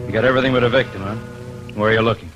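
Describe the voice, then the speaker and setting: Brisk, skeptical American male police captain, played by an actor, low voice, quick vintage radio delivery. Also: steady tone, lo-fi sound, over music, close to an everyday voice. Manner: brisk, questioning. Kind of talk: 1950s radio acting.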